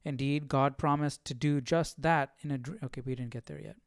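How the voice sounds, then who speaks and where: A man's voice: on the high side, steady tone, fairly quick, clear, resonant tone, no room sound, clean studio sound. A man hosting a casual Bible study livestream, reading aloud and musing over it with informal questions. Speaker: livestream host.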